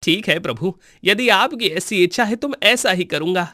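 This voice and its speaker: Devout, anxious-to-please priest, high voice, formal reverent delivery for animated fable. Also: male voice, moderate pace, no echo, clean studio sound. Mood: obedient.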